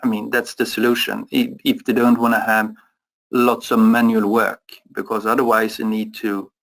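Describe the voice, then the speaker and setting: A man's voice: fairly low pitch, level tone, vocal fry, a bit bright. Hesitant European man answering questions. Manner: halting, many fillers and restarts. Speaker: product expert. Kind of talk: webinar Q&A answers.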